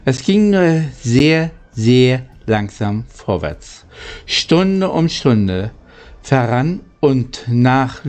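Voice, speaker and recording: A man reading a children's story aloud like a homemade audiobook, in a halting, deliberate way with small stumbles. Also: medium pitch, a little mellow. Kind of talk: amateur audiobook reading with chatty greeting.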